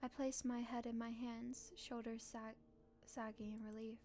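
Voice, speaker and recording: A woman's voice: on the high side, little pitch movement, measured pace, dark tone, soft background music. A woman streamer reading a visual novel aloud and reacting casually as she goes.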